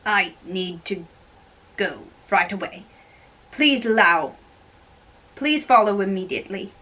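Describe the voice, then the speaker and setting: A woman playing a flustered household servant in an amateur stage play reading, halting and repeating herself. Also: mid-range voice, animated, sharp tone, heavily acted.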